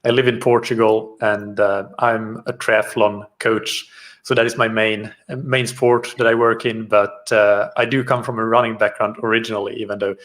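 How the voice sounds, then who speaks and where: A man in his thirties from Finland whose first language is Swedish, a triathlon coach speaking in a measured, relaxed way as an interview guest over a video call. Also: fairly low pitch. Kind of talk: casual interview chat.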